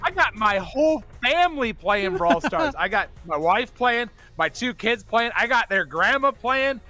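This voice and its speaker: Excitable high-pitched man, bright and lively. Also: measured pace. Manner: excitable, giggly, gushing. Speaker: voice actor guest. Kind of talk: casual chat while gaming. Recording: music playing behind the voice. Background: music.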